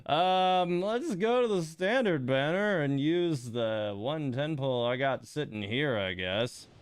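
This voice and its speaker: A man's voice: a little high, expressive, slow pace, clean tone, no echo. A man hosting a game livestream in casual chat, muttering exasperated asides to himself and his viewers. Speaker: livestream host playing a gacha game.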